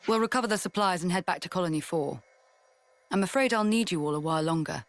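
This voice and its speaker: An actress playing a weary woman army commander who speaks in a measured, grave, formal way. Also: lowish voice, no echo, natural voice. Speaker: military commander.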